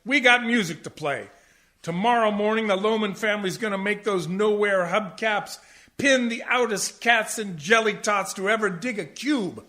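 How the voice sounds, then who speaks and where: A man's voice: sits high, normal speed, sharp tone. An actor playing an eager, blustering jazz musician father who talks in hip slang in a comic radio play. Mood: excited, boastful. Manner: hip jazz slang, stammers when flustered.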